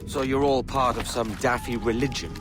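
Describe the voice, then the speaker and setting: A man's voice: medium pitch, steady tone, normal speed, music in the background, close to an everyday voice. A man playing a brash, cynical young pirate rogue in a video game, flippant and wry in his delivery. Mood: wry, cocky. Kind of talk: video game cinematic acting.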